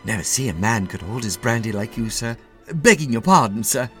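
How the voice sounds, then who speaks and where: A man's voice: medium pitch, animated, a little fast, fairly bright tone, soft background music, lightly acted. A man voicing an anxious, deferential wartime army sergeant, urgent and emphatic, in a story reading. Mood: urgent deference.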